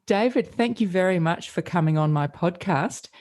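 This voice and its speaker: A middle-aged woman hosts a wellbeing podcast in a low, mellow voice. She speaks in a measured, deliberate way. The recording is dry, with no room sound. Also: lively intonation, dry recording. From Australia. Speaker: podcast host. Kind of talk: scripted intro then interview questions.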